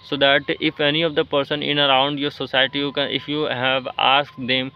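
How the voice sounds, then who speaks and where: A man with an Indian accent, Hindi-speaking by birth, talks in a flat, level tone, stopping often for fillers and restarted phrases. The sound is dull and lo-fi, with the top end rolled off. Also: medium pitch, clear, resonant tone. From India, Jharkhand. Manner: halting, many fillers and restarts. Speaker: English-learning vlogger. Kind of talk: casual motivational talk to camera.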